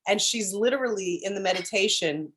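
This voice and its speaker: A woman with a deep voice telling a rambling story on a video call from home, with many restarts and throat clearing. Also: brisk, very noisy. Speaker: spiritual group member sharing updates. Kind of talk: casual chat on a group call. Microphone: computer on a video call.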